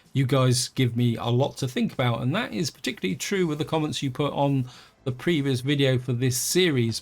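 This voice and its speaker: A man's voice: medium pitch, creaky. A man with a wheezy voice from an allergic reaction, giving rambling, self-correcting commentary as he plays a city-building game. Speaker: let's play host. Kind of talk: casual gameplay commentary.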